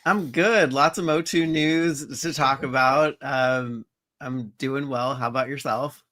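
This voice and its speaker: A man's voice: mid-range voice, steady tone, takes it slowly, sharp tone. A man co-hosting a live toy stream, giving short, supportive replies in casual chat. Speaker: co-host.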